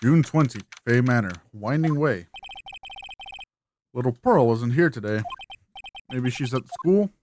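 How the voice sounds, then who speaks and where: A woman's voice: a bass voice, animated, measured pace, pauses often, darkish tone, slight background noise, pitched below the performer's own voice. A woman voicing an earnest young male defense lawyer, wryly skeptical, with dry asides in a video game dub. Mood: reflective. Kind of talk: video game dialogue and inner monologue.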